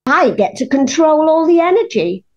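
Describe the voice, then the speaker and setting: A woman's voice: high voice, very animated, moderate pace, darkish tone, dull audio. A woman playing a cold, gloating villainous queen in a radio pantomime, haughty and menacing. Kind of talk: local radio pantomime acting.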